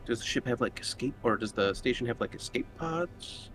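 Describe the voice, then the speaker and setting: A man's voice: expressive, brisk, over music. A man chatting casually as a player at a tabletop roleplaying game. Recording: noisy, with low rumble.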